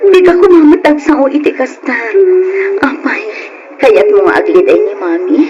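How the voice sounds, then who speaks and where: A woman's voice: high-pitched, big pitch swings, moderate pace, crowd noise. An actress playing a devoted mother of a sick boy in an Ilocano radio melodrama, with a Filipino accent and an emotional, coaxing delivery. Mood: anguished pleading. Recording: dull lo-fi radio, music behind.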